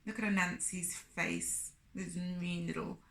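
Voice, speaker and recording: A woman's voice: on the low side, steady tone, a little slow, creaky, fairly bright tone. A woman storyteller reading expressively for children, with chatty asides and questions to the young listeners. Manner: asks the listeners questions, comments on characters. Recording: echoey room.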